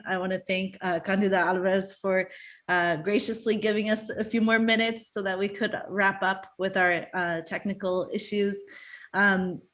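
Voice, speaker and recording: A woman's voice: lowish voice, fairly bright tone. A woman from Brazil, a native Portuguese speaker, moderating an online panel over a video call and talking in a conversational way with frequent fillers.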